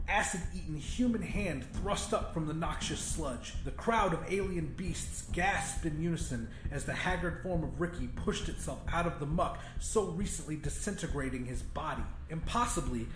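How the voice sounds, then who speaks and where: A man reading a scripted horror story as an audiobook narrator. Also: fairly high pitch. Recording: roomy echo with music. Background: music.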